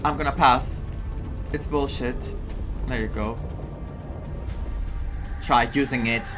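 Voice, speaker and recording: A game streamer giving casual, excitable reactions during a playthrough, often repeating phrases. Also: medium pitch, takes it slowly. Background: game music.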